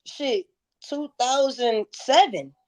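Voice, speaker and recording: A woman's voice: high-pitched, expressive, takes it slowly, a little mellow. A woman in her thirties telling stories candidly in a casual interview, with slangy, profane, emphatic phrasing. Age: 30s. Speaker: interview guest, music performer.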